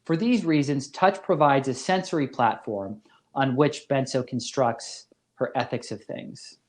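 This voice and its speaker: Man lecturing formally, medium pitch. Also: unhurried. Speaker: philosophy professor, lecturer. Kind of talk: scripted academic lecture.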